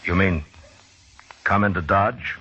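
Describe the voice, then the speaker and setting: Weary, understated frontier lawman, low and measured, vintage radio drama on a dull recording. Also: fairly even intonation, pushed and bright, husky, exaggerated voice. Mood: concerned, cautious. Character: steady, weary frontier lawman narrating his western tale. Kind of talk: 1950s radio drama acting.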